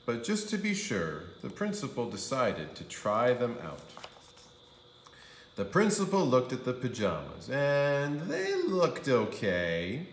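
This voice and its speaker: A man reading a children's story aloud as a kindergarten teacher, with expressive, drawn-out emphasis on key phrases. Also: medium pitch, reverberant, a little hiss or hum. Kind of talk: animated storybook narration for young children.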